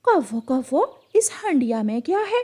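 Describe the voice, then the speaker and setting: A shrewd, bossy bird of prey, acted by a woman, pitched high and lively. This cartoon kite gives commands and takes no nonsense. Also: moderate pace. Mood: demanding. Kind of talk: Hindi cartoon dialogue.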